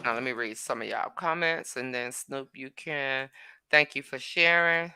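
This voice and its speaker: A woman's voice: very deep voice, unhurried, sharp tone, a little hiss or hum. A woman co-hosting a podcast, chatting in a laid-back way and reading out listener comments. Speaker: podcast co-host. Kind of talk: casual chat.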